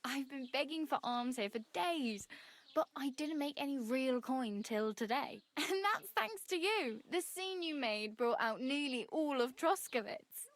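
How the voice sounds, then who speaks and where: High-voiced plucky young wanderer. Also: female voice, lively intonation, measured pace, no room sound. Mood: cheerful. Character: friendly, plucky young wandering beggar girl in medieval Bohemia. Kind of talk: video game dialogue acting.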